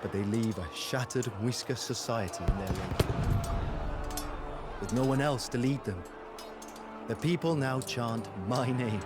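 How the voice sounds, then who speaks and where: An actor playing a passionate, grave war commander and devoted lover, narrating a game cutscene in a solemn, theatrical way. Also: male voice, mid-range voice, measured pace, pauses often, natural voice. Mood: solemn, reflective. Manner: solemn, theatrical storytelling, intense. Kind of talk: dramatic scripted first-person game cutscene narration. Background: music.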